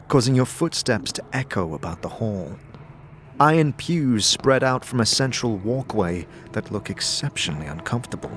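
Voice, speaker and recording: Man, mid-pitched voice, measured descriptive narration, fantasy audio drama, noisy ambient background.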